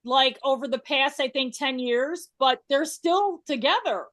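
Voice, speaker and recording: High-voiced chatty woman host. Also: unhurried, fairly bright tone, dry recording. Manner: chatty, storytelling, many fillers. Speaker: podcast host. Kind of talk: casual interview chat. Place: works in Las Vegas.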